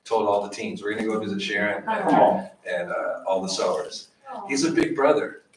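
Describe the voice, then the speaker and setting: A middle-aged man teaching a Bible study in a small church room, animated, with questions for the audience. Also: on the low side, moderate pace, crowd noise. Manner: animated, gestures widely, asks the audience questions. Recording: echoey room, voice from far off.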